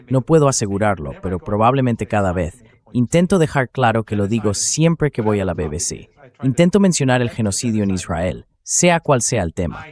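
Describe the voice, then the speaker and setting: A man speaks as a political commentator in an interview, with a fairly low voice and highly varied intonation. His delivery is conversational and emphatic, wandering into asides. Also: a little mellow. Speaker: guest commentator, professor.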